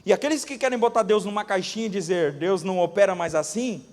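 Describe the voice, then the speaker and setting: A male pastor with a Brazilian Portuguese accent, preaching a sermon and telling testimonies dramatically, swinging between loud bursts and hushed asides. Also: high voice, fast talker, long reverb tail. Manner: dramatic storytelling, acting out quoted voices, swinging from loud to hushed. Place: church.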